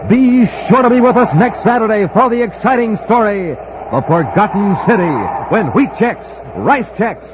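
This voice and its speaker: An American man announcing a 1950s radio show, with a punchy, excited pitchman delivery for narration and sponsor spots. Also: measured pace. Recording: muffled, faintly noisy 1950s radio recording.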